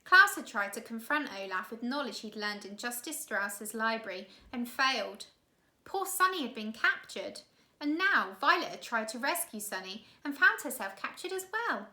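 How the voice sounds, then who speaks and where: Bright-voiced woman reading a storybook, roomy sound. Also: fairly high pitch, big pitch swings, normal speed. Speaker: teacher reading a children's novel aloud. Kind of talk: expressive storybook narration. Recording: roomy, echoey room sound.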